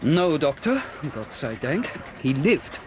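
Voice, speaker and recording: An actor playing a courteous but exasperated German factory owner in a radio drama, with a formal, precise, emphatic manner and a German accent. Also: male voice, a little high, very animated, slow, background noise. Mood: indignant.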